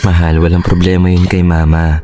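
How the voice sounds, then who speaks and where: A man's voice: low-pitched, monotone, fairly quick, mellow, soft background music, natural voice. An actor playing a devoted, anxious young expectant father with a Filipino accent in a radio drama. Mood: soothing.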